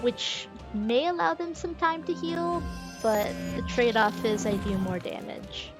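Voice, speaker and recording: A woman's voice: a little high, expressive, a little slow. A woman, chatting informally as she commentates on a game livestream. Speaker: game streamer. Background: video game music.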